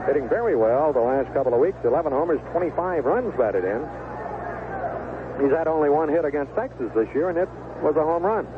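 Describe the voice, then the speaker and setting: A man's voice: fairly high pitch, lively intonation, a little fast. A man calling baseball play-by-play in a folksy, conversational 1970s radio style. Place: stadium broadcast booth. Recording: lo-fi, noisy vintage AM radio broadcast. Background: ballpark ambience and noise.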